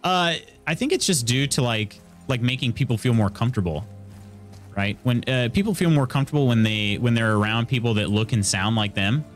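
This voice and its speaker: A man's voice: medium pitch, fairly quick, faint music behind. A man in his thirties with an Indiana Midwestern accent, chatting casually while playing and reading chat. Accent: Midwestern American, Indiana. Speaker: streamer. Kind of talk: casual gameplay commentary and chat. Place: small room at home, indoors. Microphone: studio mic on arm near face.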